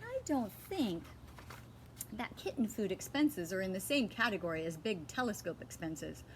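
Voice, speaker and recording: A woman's voice: mid-range voice, big pitch swings, normal speed, slight background noise, lightly acted. A woman reading aloud the part of an eager, scatterbrained mother in a children's story, bubbly and emphatic. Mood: eager, cheerful.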